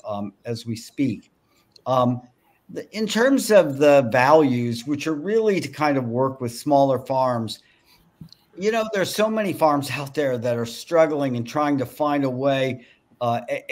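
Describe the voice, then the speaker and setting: A man in his sixties speaking on a video call as a farm business founder, pitching and answering investor questions. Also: darkish tone. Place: home room with bookshelves.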